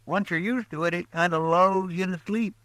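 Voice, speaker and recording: An actor playing an earnest, folksy young deputy with a hesitant, twangy way of talking in an old radio drama. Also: male voice, sits high, moderate pace, a bit bright. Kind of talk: 1950s radio drama acting. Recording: old radio broadcast recording.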